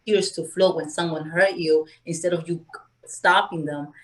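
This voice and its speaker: A woman's voice: on the low side, moderate pace. A woman from Peru, a guest telling her story in an earnest, spiritual, conversational way. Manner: earnest, spiritual, animated, acts out quoted voices.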